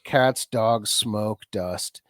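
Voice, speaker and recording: A man co-hosting a podcast in casual chat, a bit stuffed up from a cold, talking in halting bursts with many restarts and easy laughs. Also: fairly low pitch, no room sound, clean studio sound. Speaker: podcast co-host.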